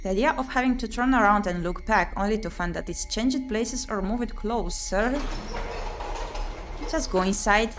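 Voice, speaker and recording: A woman's voice: mid-range voice, moderate pace. Dramatic woman reading a game over music. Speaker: VTuber streamer playing a visual novel. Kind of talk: expressive read-aloud of visual novel text with playthrough commentary.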